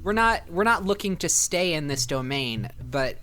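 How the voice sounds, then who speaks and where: A woman doing conversational improv in a comedy podcast, halting and stammering as she goes. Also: deep voice, animated, a bit bright, a little hiss or hum.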